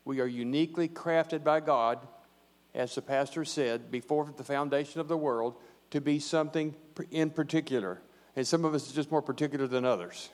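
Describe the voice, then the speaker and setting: A man's voice: a little high, brisk. A man, a church elder giving a short, plainspoken, joking sermon into a handheld microphone. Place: church sanctuary. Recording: long reverb of a church hall.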